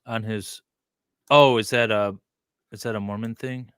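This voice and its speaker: A man's voice: lowish voice, fairly even intonation, unhurried, a bit bright, clear, resonant tone, dry recording, clean studio sound. A man co-hosting a livestream, reading aloud casually and breaking off for joking asides. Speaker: co-host of a true-crime livestream.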